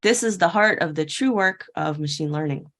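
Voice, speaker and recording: Woman, keynote speaker, slightly low pitch, prepared talk, storytelling delivery, playful, rhetorical questions. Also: moderate pace, a bit bright. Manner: storytelling, poses rhetorical questions and answers them. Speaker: keynote speaker at a tech conference. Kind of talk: prepared conference talk told as a fairytale parable.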